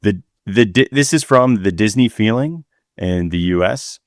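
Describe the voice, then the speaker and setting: A man's voice: fairly low pitch, lively intonation, a little slow, no room sound, clean studio sound. A man co-hosting a podcast in casual chat, laid-back and slangy. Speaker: podcast co-host.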